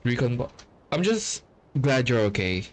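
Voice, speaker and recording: Slow-paced, offhand game streamer. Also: mid-range voice, very animated. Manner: slangy, blunt, offhand. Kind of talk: casual gameplay reaction commentary.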